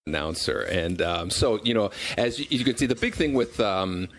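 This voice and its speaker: A man's voice: lowish voice, expressive, normal speed. A man being interviewed as a game developer, talking informally in promotional style and often repeating words as he goes. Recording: loud music behind the voice. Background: loud music.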